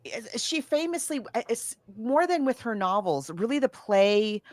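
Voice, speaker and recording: A woman chatting casually about books and films, animated and halting as she searches for details while recounting. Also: on the high side, no echo, clean studio sound. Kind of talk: casual book and film discussion.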